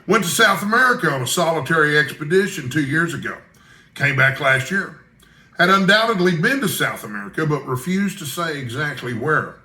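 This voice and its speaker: Man voicing a wry, kindly news editor, bright. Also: fairly high pitch, animated, a little fast, reverberant. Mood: brisk, clipped. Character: kindly, shrewd older Scottish newspaper news editor.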